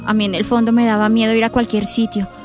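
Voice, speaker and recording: A woman playing a gentle, thoughtful teenage schoolgirl in a radio drama. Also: a little high, level tone, fast talker, music in the background, natural voice. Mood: relieved.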